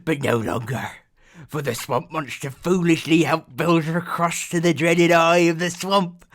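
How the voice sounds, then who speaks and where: A man's voice: on the high side, fairly even intonation, measured pace, close to an everyday voice. A man voicing a small, grubby blue swamp creature in an audiobook, gloating and greedy, crowing theatrically about himself in the third person with a phlegmy voice. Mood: bitter, defiant.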